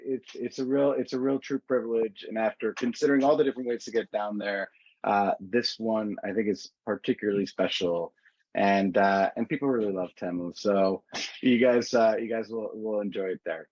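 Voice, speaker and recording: A man's voice: fairly low pitch, normal speed, darkish tone, no room sound. Man on a dull video-call line. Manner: casual, many fillers and restarts. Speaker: tour organizer. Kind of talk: webinar presentation. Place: indoors in a city.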